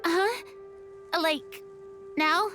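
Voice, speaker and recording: A woman's voice: extremely high pitch, extremely slow, heavily acted. A woman voice acting as an eager, kind-hearted young female adventurer in a video game. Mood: curious, unsure. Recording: music behind the voice.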